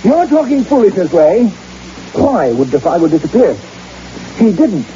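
An actor playing a brusque, pompous, scornful doctor on a hunting trip in an old radio drama. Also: male voice, sits high, very animated, normal speed, dark tone. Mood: dismissive. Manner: dismissive, impatient, pompous. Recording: muffled, hissy vintage radio drama recording.

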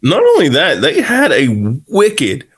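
A man with a lively, animated voice chats on a podcast. He sounds enthusiastic and stumbles over restarts as he builds his point. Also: high voice, a little slow, slightly rough. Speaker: podcast co-host. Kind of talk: casual podcast chat.

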